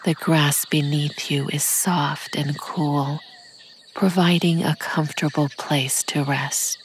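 Woman doing guided meditation, really slow, soft breathy half whisper, faint crickets and nature sounds behind. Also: sits low, little pitch movement, slight background noise. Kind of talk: guided meditation narration, scripted. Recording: nature ambience with insects behind voice.

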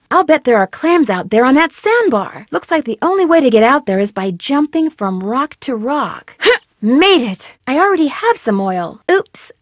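A woman's voice: fairly high pitch, no echo, clean studio sound. An actress voicing a curious teenage girl detective, delivering upbeat, playful quips in video game voice lines. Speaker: game protagonist.

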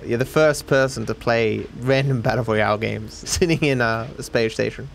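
A man's voice: mid-range voice, moderate pace, gravelly, slight background noise. A man with an Australian accent chatting casually to a live stream over gameplay, joking in a dry, sarcastic way. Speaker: video game streamer.

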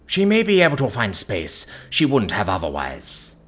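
A man playing a calm, devout male counsellor in an audiobook story, speaking in a measured, earnest, gentle but firm way. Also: lowish voice, husky, exaggerated voice. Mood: calm, advising. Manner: measured, earnest, preaching in metaphors.

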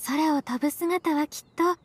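Woman voicing a high-voiced, earnest young disciple. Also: natural voice. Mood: admiring. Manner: earnest, formal, measured phrasing. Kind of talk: Japanese video game voice acting.

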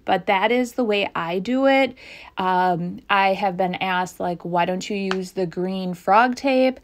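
A woman giving casual step-by-step painting tutorial narration, haltingly thinking aloud as she goes. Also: medium pitch. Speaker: craft tutorial host.

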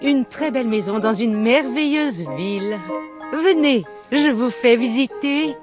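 A woman playing a gracious, welcoming old queen in a children's cartoon game, over loud music. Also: a little high, lively intonation, a little slow.

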